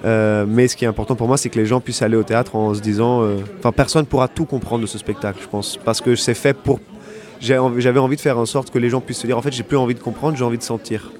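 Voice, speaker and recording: A man, an actor and director who grew up bilingual in French and Italian, talking reflectively in an interview with many pauses and fillers. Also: medium pitch, fairly even intonation, darkish tone.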